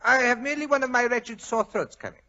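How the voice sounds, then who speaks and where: A man's voice: very high-pitched, quick pace, sharp tone. An actor playing a fussy, effusive Frenchman with a flowery, slyly menacing politeness and a French accent, in 1940s radio style. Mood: smooth, guarded. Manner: flowery, pompous, overly polite. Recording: dull old-time radio recording.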